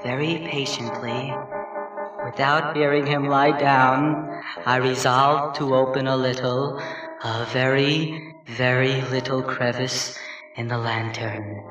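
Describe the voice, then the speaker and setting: Man acting a slow, unhinged gothic madman. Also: mid-range voice, fairly even intonation, lo-fi sound, natural voice. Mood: stealthy, tense. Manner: chuckling, halting, swinging from hushed creeping to frenzied. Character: gleeful, unhinged madman murderer insisting he is sane. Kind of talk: theatrical dramatic reading of gothic horror story. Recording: roomy echo, distant mic, music underneath. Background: music.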